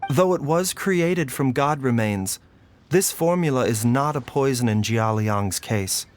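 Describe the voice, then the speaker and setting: A man voicing a calm, learned physician in a video game, speaking softly, precisely and formally. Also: mid-range voice, measured pace, natural voice. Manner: soft-spoken, precise, formal. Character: calm, learned male physician, gentle and composed. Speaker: doctor.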